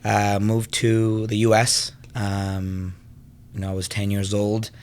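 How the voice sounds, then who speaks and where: A man's voice: on the low side, completely flat delivery, slow, darkish tone, clear, resonant tone. A man in his thirties from Venezuela, a podcast guest telling his own story in a casual, conversational way, often saying "you know". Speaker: podcast guest, fitness business owner and trainer.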